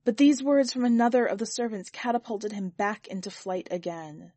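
A woman reading a short story aloud as an expressive narrator. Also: medium pitch, moderate pace, no room sound. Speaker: podcast editor and story reader. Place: quiet, dry recording space.

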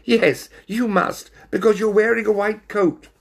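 A man voicing an excitable, touchy male stoat from a children's story, with shrill exclamations. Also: a little slow, vocal fry, heavily acted. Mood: indignant.

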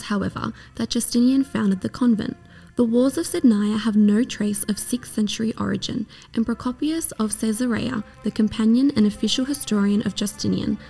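A woman reading a scripted documentary narration in a measured, even way. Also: medium pitch, creaky, breathy edge.

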